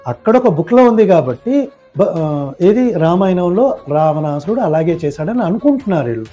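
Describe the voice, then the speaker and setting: A man's voice: high-pitched, sing-song, a little fast, dark tone, faint music behind. A man speaking as a podcast guest, explaining his opinions point by point in a didactic, conversational way.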